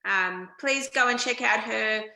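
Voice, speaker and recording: Bright croaky-voiced woman host. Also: a little high, measured pace, roomy sound. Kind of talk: hosting an online session.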